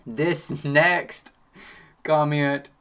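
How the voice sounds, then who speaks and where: A sixteen-year-old boy from Kentucky with a country accent, chatting excitedly as he answers viewer questions from his home studio. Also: fairly high pitch, very slow, clear, resonant tone. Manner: excitable, chatty, defensive then joking. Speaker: photography channel host. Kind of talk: casual Q&A reading comments.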